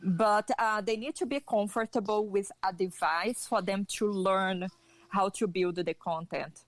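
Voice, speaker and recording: Woman, Brazilian Portuguese accent, middle-aged, podcast guest, slow deliberate talk, dry recording. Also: mid-range voice, fairly even intonation, no echo. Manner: measured, hesitant with fillers, occasional light laugh. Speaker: interview guest. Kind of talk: podcast interview.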